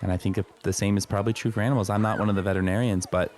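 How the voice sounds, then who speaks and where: A man's voice: lowish voice, steady tone, rapid-fire, background noise, close to an everyday voice. A man playing a patient but harried zoo keeper in an improvised comedy radio play, politely placating and hesitant as he talks. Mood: hesitant, reassuring.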